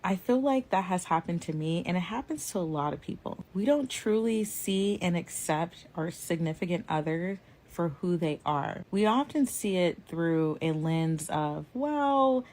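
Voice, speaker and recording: A woman's voice: fairly low pitch, animated, measured pace, hardly any pauses, a little mellow. An American woman in her thirties explaining relationship advice from personal experience directly to viewers.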